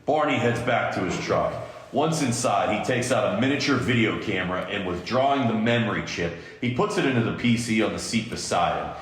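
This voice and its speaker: A man's voice: on the low side, animated, brisk. A man narrating scripted stage directions as a podcast host in an amateur table read. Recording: very echoey room, long reverb.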